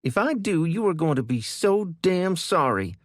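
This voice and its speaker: A furious, bossy young big sister, voiced by a man, yells and scolds in a high, strained voice. She sounds loud and worked up. It is an audiobook reading with dry, close sound. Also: big pitch swings, moderate pace, dry recording. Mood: yelling angrily. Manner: yelling, scolding.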